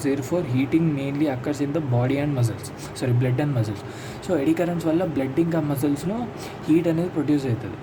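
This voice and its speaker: A man with a Telugu accent giving an informal lesson as a teacher, explaining notes step by step and repeating points as if to one student. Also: fairly high pitch. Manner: explanatory, repetitive, addressing a student directly. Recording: clear steady background noise.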